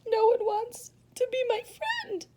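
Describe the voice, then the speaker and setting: A woman's voice: very high voice, big pitch swings, slow pace, mellow, slight background noise, voice pushed up, heavily acted. A woman voicing a sad, lonely little female caterpillar from a children's storybook in a tearful, whining manner.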